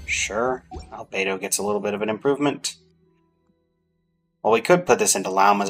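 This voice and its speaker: A man's voice: fairly low pitch, a bit bright. A man giving casual gameplay commentary, muttering to himself with playful, mock-formal phrasing. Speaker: game streamer. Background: game music.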